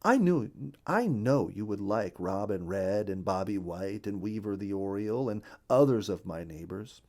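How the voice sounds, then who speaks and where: A man's voice: on the low side, animated, normal speed, darkish tone, lightly acted. A man voicing a cheerful, friendly male bluebird in a children's storybook reading, chatty and neighborly.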